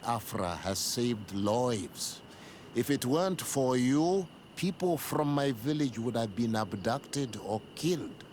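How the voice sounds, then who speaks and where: A man's voice: mid-range voice, a little hiss or hum, natural voice. An actor playing a grave, formal male village leader, wary but gracious, in a video game cutscene. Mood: grateful, reassuring.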